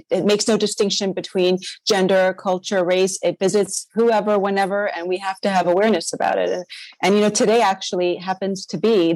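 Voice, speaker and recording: A woman's voice: medium pitch, a little mellow, dry recording, rough recording. Enthusiastic woman host, dry close sound. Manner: enthusiastic, chatty. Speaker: podcast host. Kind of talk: podcast interview conversation.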